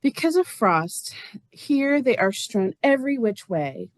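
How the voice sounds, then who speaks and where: A woman's voice: on the high side, sing-song, unhurried, no echo. A woman playing a plain-spoken, lonely, literal-minded farm neighbor in a stage reading, earnest and matter-of-fact. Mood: frustrated. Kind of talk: stage reader's theater acting.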